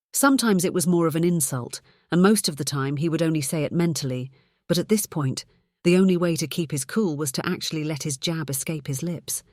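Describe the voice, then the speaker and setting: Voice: mid-range voice, darkish tone, no echo, studio quality. An audiobook narrator reading a scripted story.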